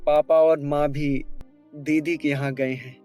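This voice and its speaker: A man voicing a courteous, sincere, lovelorn young boss in a Hindi animated melodrama. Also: a little high, measured pace, lightly acted. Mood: businesslike. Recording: music bed behind the voice.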